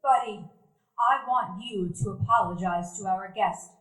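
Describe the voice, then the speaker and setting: A woman's voice: mid-range voice, a bit bright, natural voice. An actress playing a stern, proper woman of the house in a live stage radio play, speaking firmly and commanding. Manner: slow, firm, commanding. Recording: echoey live stage recording.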